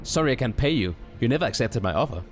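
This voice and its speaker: A man voicing a smug, cold-hearted, excitable male wizard in a dramatic story, exclamatory and self-satisfied, with music behind him. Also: medium pitch, fast talker, voice pushed up, natural voice. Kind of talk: dramatic storybook character acting.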